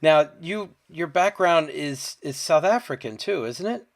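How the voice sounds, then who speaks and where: A man's voice: a little high, animated, a little slow. A man hosting a podcast interview, asking friendly, conversational questions and checking facts as he goes. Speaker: podcast host.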